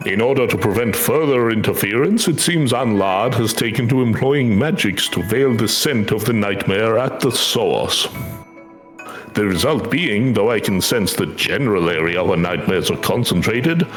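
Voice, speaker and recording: An echoey, low voice gives life to an earnest magical familiar , acted out by a man. The speech is formal, eloquent and measured, as in fantasy game dialogue. Soft music plays underneath. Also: lively intonation, lightly acted. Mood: courteous, composed. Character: courteous, earnest male magical familiar who hunts nightmares. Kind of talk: video game quest dialogue read aloud.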